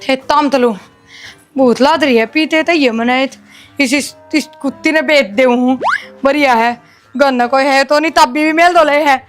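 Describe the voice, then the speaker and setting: A woman's voice: high voice, measured pace, music in the background. A woman playing a scatterbrained village woman in a comedy sketch, with a Haryanvi accent, a childish lisp and blunt, chattering talk. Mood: grumbling, puzzled. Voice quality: lisping, swaps consonants like a toddler.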